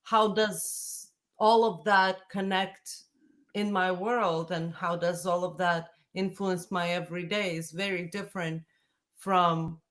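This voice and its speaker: Slow, thoughtful woman, a native Russian speaker. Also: lowish voice, flat delivery, fairly bright tone. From Latvia. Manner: thoughtful, searching for words. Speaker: musician guest. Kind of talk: reflective conversation. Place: home room indoors. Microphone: video call.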